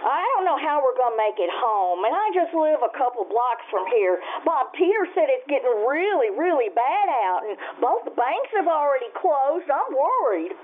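A folksy, worrying small-town American shop clerk acted by a woman in a vintage radio play. Her voice is high and very animated, heard through muffled, faintly noisy sound. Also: normal speed, few pauses. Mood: worried. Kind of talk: old-time radio stage acting.

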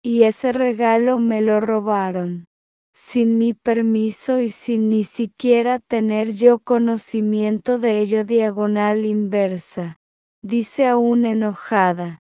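A woman reading a text aloud phrase by phrase, then repeating each phrase slowly and calling out the punctuation marks. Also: a little high, steady tone, mellow, clear, resonant tone, dry recording, highs cut off.

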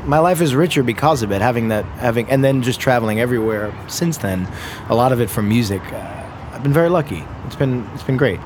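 Brief: man in his thirties, musician guest, rambly animated storyteller, mid-pitched, background noise under the voice. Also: fast. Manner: rambling, animated storyteller who laughs often. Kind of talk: casual conversation.

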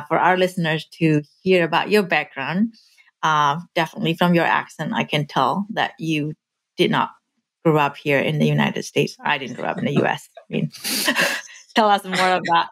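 A woman hosting a podcast interview, asking questions in a friendly conversational way. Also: deep voice. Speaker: podcast host.

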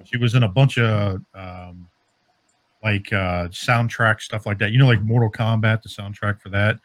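A man with a fairly low voice talks casually as a podcast co-host. He rambles informally as he explains bits of trivia, in a jokey way. The sound is dry, with no room to it. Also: fairly even intonation, normal speed, dry recording.

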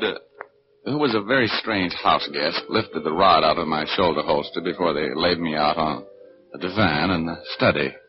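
A man's voice: lowish voice, close to an everyday voice. Man acting a wisecracking 1940s radio private eye, swooping delivery. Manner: wry, slangy. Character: wisecracking tough male private detective, narrating and scheming. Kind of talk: 1940s radio detective acting, first-person narration. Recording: dull 1940s radio broadcast.

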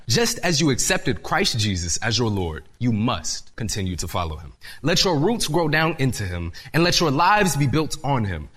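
Lively young preacher, a bit raspy. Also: male voice, mid-range voice. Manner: earnest, lively delivery with rising emphasis. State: slightly raspy voice. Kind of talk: sermon with scripture reading. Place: church hall with audience.

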